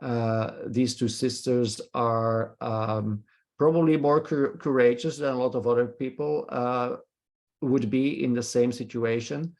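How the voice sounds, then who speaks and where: A man's voice: mid-range voice, steady tone, unhurried, darkish tone. Hesitant man leading a discussion. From Belgium. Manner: hesitant, many filler sounds and questioning "hmm?" checks. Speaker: discussion leader, professor. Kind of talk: leading a virtual film discussion. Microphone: video call.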